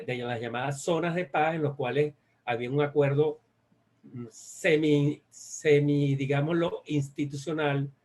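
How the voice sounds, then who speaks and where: A man with a Venezuelan accent giving an academic presentation, speaking haltingly and often repeating phrases as he searches for words. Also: on the high side, a little mellow. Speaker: guest speaker. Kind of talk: academic presentation on a research study.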